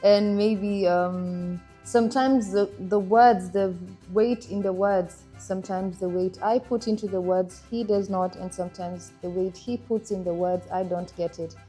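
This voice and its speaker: A young woman from Kenya, chatting casually about her marriage, animated and laughing now and then. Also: medium pitch, a little slow, hardly any pauses, soft background music. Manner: animated, laughs while telling stories. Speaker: interviewee, wife in a couple. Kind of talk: casual conversational storytelling.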